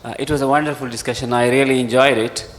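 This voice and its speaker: A man's voice: mid-range voice, fairly even intonation, fast talker, noisy background. Man, audience member, formal, polite, hall reverb. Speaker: audience questioner. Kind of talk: question from the floor.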